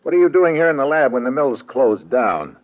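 A man's voice: mid-range voice, very animated, fairly quick, highs cut off, lightly acted. Man playing a tough, slangy American investigator. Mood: tough, probing. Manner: hard-boiled, brusque. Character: tough, wry, slangy male investigator and narrator. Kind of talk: old-time radio drama acting. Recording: noisy vintage radio recording with clicks.

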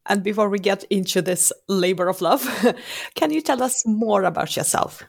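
A woman's voice: medium pitch, moderate pace, gravelly. A woman speaking as an interview host, putting questions to a guest.

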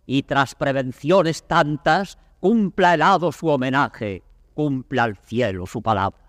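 A man acts an old, beaten king in a classical stage tragedy, with a Castilian accent. He declaims gravely and slowly, stretching his phrases. The voice rings in a long hall reverb on a vintage drama record. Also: medium pitch, clean studio sound, lightly acted. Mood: bitter submission. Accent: Castilian Spanish. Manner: declamatory, grave, drawn-out delivery. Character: anguished defeated old king, a father facing fate. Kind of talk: classical Spanish verse theatre acting.

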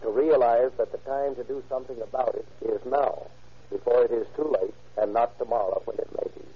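A man's voice: medium pitch, steady tone, brisk, slightly rough. A man playing a police chief, making a solemn, earnest appeal in a 1940s radio address. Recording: muffled old radio.

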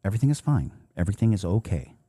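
A man voicing a calm older male doctor who speaks softly and reassuringly. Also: lowish voice, very fast, husky, dry recording. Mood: soothing. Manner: soft reassuring.

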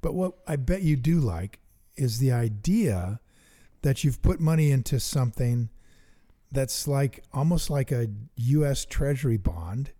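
Dark-voiced man explaining investing. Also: mid-range voice, animated, measured pace. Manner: enthusiastic, thinks out loud, works sums aloud. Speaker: investing podcast co-host. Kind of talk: casual teaching chat.

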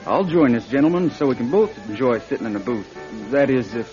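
A man's voice: medium pitch, lively intonation, fairly quick, lightly acted. An actor playing a young male van driver with a folksy, hesitant way of talking in a radio drama. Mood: friendly. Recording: dull radio recording, music behind.

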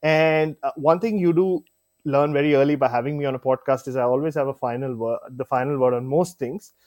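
A man's voice: medium pitch, fairly even intonation, fairly quick, a little mellow, no room sound, studio quality. A man on a podcast as a guest cricket writer, talking casually, joking and full of fillers. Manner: chatty, joking, many fillers.

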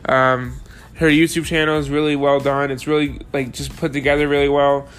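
A man's voice: on the high side, flat delivery, moderate pace, a bit bright, slight background noise. A young man hosting a podcast, talking enthusiastically with plenty of fillers as he introduces and interviews a guest. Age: young adult. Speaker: podcast host.

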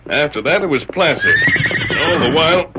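An actor playing a calm, commanding masked cowboy hero in a vintage radio western, with crisp, authoritative phrasing. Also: male voice, on the high side, moderate pace, very noisy, close to an everyday voice. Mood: intent.

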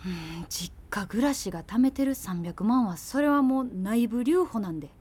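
A woman's voice: mid-range voice, expressive, moderate pace, a little mellow, husky. An actress playing a practical, exasperated girlfriend with a Kansai accent in naturalistic radio drama dialogue, blunt and challenging.

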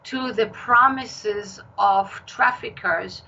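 An older woman with an Israeli accent, a novelist talking in an interview in a measured storytelling manner. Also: medium pitch, gravelly, pushed and bright, slightly rough, muffled recording. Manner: slow, measured storytelling with occasional restarts. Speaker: novelist guest. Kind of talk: interview conversation.

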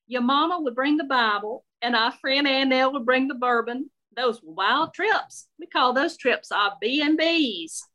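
A woman voicing a warm, nosy seventy-seven-year-old Southern lady with a Southern accent, talking with no filter. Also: a little slow, hardly any pauses, dull audio. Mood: gossipy. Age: 70s. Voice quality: voice jumps high when excited. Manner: gushing, outspoken, no filter.

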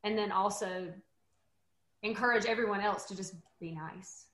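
A woman's voice: mid-range voice, moderate pace, a bit bright, roomy sound, slight background noise. A woman in her late thirties, a guest on a casual panel, talking candidly and rambling a bit. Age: 30s. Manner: candid, rambling, laughs easily.

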